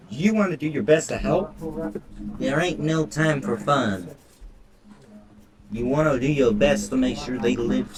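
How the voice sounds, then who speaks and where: A man's voice: mid-range voice, far from the mic, poor audio. A man doing casual game commentary and reading text aloud, crude and sarcastic, with frequent swearing. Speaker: let's play streamer.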